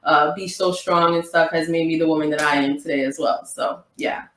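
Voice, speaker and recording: A woman from Queens, a business co-founder on a podcast, speaking softly and laughing often. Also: low voice, fairly even intonation, fairly quick, reverberant. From Queens, New York. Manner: laughs often, soft-spoken. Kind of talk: casual chat.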